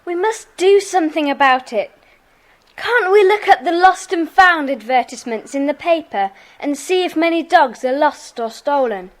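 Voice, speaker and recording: A woman's voice: high-pitched, lively intonation, normal speed. A woman playing an inquisitive schoolgirl detective in a children's audio drama, earnest and questioning.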